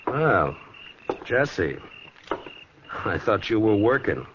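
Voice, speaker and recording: A man's voice: on the low side, very animated, extremely slow, fairly bright tone, heavily acted. An American man playing a stern, world-weary frontier marshal in a 1950s radio western, terse and authoritative. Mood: impatient. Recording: muffled 1950s radio recording, crackly background.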